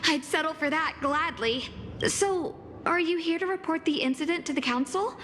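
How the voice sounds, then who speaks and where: A woman's voice: high voice, normal speed, fairly bright tone, a little hiss or hum. A woman playing an earnest, courteous young student in a video game, speaking politely and formally. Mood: relieved. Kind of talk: dramatic video game voice acting. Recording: reverberant hall, faint music.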